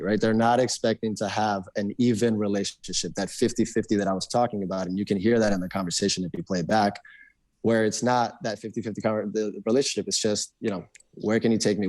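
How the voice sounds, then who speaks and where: Fast-talking young man, deep-ish voice. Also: steady tone, darkish tone, no echo. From Argentina. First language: Spanish. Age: young adult. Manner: fast, rambling, many restarts and fillers. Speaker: podcast guest. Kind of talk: casual interview chat.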